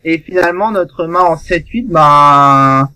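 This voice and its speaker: A man's voice: a little high, takes it slowly, pushed and bright, clear, resonant tone, no echo. A man on a casual radio chat, adding points to a poker discussion in a thoughtful way.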